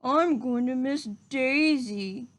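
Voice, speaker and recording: An earnest, wistful young schoolchild from a storybook, acted out by a woman, speaking in a high singsong. The pace is slow and the words are simple.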